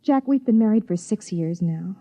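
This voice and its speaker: An actress playing a worried, determined pilot's wife in 1950s American radio drama style. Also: female voice, medium pitch, expressive, moderate pace, warm tone. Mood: serious, insistent. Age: adult. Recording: muffled vintage 1950s radio recording.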